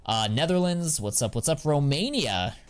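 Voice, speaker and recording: A young American man chatting casually on a live stream, answering viewers in a relaxed, slangy way. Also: fairly high pitch, normal speed, clear, resonant tone. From United States. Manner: slangy and laid-back, drawls filler words.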